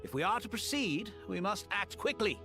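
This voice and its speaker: A woman's voice: medium pitch, lively intonation, over music, close to an everyday voice. Woman as a composed, decisive scholar. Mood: grave, resolute. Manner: formal, deliberate, articulate. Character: composed, decisive scholar leading a risky project. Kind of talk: English video game voice acting.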